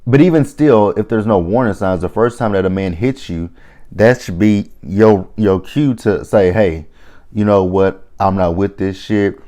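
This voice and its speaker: A man in his thirties with a deep, low-pitched voice talks through a reality show episode in a loose, conversational way. He is blunt and opinionated and swears freely. He often stops and restarts his sentences as he thinks aloud. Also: unhurried, mellow. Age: 30s. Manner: rambling with frequent restarts. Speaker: reality TV reviewer. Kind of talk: casual recap commentary.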